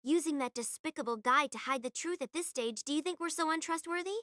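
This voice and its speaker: An actress playing a hot-tempered, scornful young military student in an audio drama, confrontational and accusatory. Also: female voice, sits high, fast, a bit bright, dry recording, studio quality. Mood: scornful, accusing. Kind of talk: anime-style audio drama acting.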